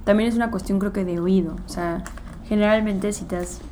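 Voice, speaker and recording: A woman's voice: medium pitch, steady tone, a little fast, dark tone, slight background noise. A woman musician from Mexico with a Mexican Spanish accent, whose first language is English, chatting in an interview and telling stories in a rambling way, full of fillers. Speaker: guest musician. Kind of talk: casual interview chat.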